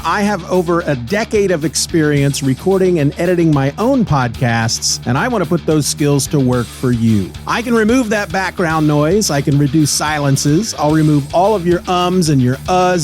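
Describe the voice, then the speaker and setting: A man delivering a scripted podcast advertisement for his own services, with music behind him. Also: high-pitched. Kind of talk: scripted ad read.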